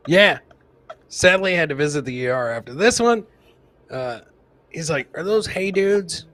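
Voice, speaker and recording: A man's voice: a little high, expressive, normal speed, a bit bright, a little hiss or hum. A man hosting a live comedy show, commenting on clips casually and informally, repeating his lines like a showman. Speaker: podcast host.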